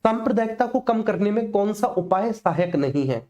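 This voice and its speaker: Mellow-voiced teacher repeating key points. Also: medium pitch, expressive, moderate pace. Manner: repeats phrases, checks understanding, addresses students as children. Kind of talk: online exam-prep lesson, explaining to students.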